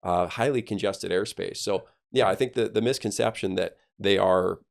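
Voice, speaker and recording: A man's voice: deep voice, normal speed, vocal fry. A man talking as an air traffic controller guest on a podcast, explaining things conversationally and with emphasis.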